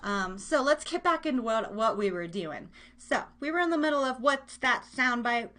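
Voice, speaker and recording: High-voiced woman hosting a casual live show. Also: expressive, normal speed, pushed and bright. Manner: chatty, informal, slangy, addresses listeners directly. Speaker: host of a live online radio show. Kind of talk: casual, interactive live-stream chat and game hosting.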